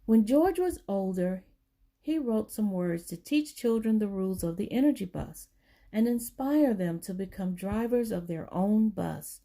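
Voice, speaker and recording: A woman reading a children's book aloud, with deliberate, emphatic phrasing for young listeners. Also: mid-range voice, expressive, mellow. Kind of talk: storybook read-aloud for children.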